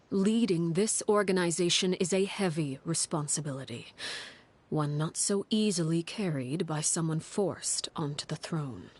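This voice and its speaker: Game role: stern female head of a secret family. Low register, formal, measured, authoritative. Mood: cool, measured. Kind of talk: scripted video game dialogue.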